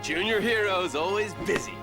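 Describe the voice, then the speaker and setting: Earnest, high-voiced young hero. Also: male voice, moderate pace, music in the background. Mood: delighted. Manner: sincere, wholesome. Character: earnest, good-natured young muscular male hero.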